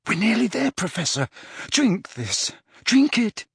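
A desperate young wizard in his teens acted by a male narrator for a fantasy audiobook. The voice is lifted higher and is breathy with strain. He sounds frightened yet keeps coaxing and reassuring urgently. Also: sing-song, measured pace, bright, forceful tone, no room sound, clean studio sound, full character voice. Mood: desperate urging.

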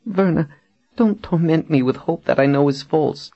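A man's voice: on the high side, moderate pace, dark tone, muffled recording, pitched above the performer's own voice. A man voicing a frightened, demure enslaved woman in an audiobook, pleading with urgency. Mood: anguished pleading.

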